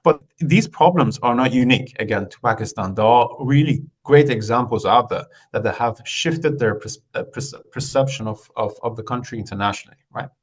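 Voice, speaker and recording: A man from Iran, a podcast guest, talking in an unhurried, conversational way with frequent fillers and restarts. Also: mid-range voice, husky. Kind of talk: conversational interview.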